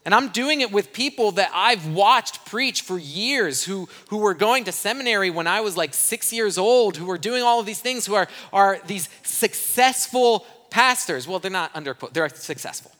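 A man's voice: high voice, animated, moderate pace, hardly any pauses, bright, forceful tone. A young adult man from Brazil, a pastor giving a candid, self-deprecating missions report to his church. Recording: long reverb from a church hall.

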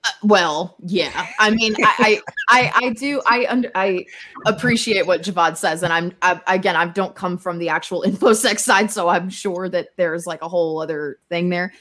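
Slightly low-voiced woman in casual conversation. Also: moderate pace, sharp tone. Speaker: podcast guest. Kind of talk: casual podcast chat.

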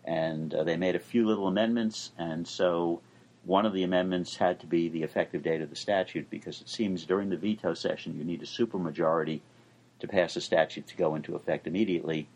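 Older male professor, very low husky voice, dry measured explainer. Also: steady tone, darkish tone, poor audio. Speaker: law professor and co-host. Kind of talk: explaining legal news.

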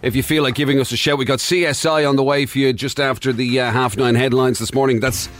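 A man's voice: medium pitch, steady tone, quick pace, soft background music. An Irish man co-hosting a radio show, teasing and wry in casual chat. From Ireland. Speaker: radio host.

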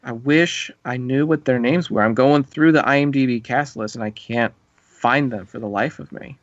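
A man hosting a casual podcast about a film, talking in an animated way with quick restarts and playful mimicked reactions. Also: mid-range voice, no room sound, muffled recording. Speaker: podcast host.

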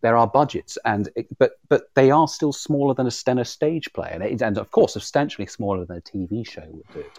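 A man's voice: medium pitch, animated, darkish tone, no echo. A man with a British accent in an informal interview, often repeating words as he finds his way through a sentence. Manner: halting, repeats words as he goes. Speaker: audio drama creator, interviewer. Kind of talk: informal live conversation interview.

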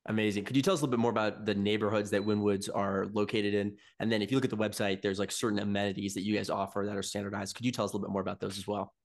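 A man hosting a podcast, reading a scripted intro and asking brisk, eager interview questions. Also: fairly low pitch, flat delivery. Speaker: podcast host.

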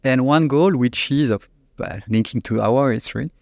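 A man with a French accent, a company CEO, answering interview questions in a halting, searching way with frequent restarts. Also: medium pitch, vocal fry, darkish tone, no echo, clean studio sound. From France. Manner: halting, restarts often, searches for English words.

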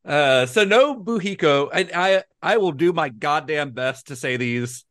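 A man's voice: a little high, expressive, a little slow, a bit bright, dry recording, clean studio sound. A man co-hosting a film podcast, talking casually with frequent restarts and laughs. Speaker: podcast co-host.

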